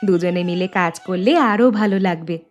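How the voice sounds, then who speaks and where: Gentle village housewife, acted by a woman, over music. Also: lowish voice, moderate pace, natural voice. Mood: caring, agreeable. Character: gentle hardworking village housewife, caring elder sister-in-law. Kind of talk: cartoon dialogue acting. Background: background music.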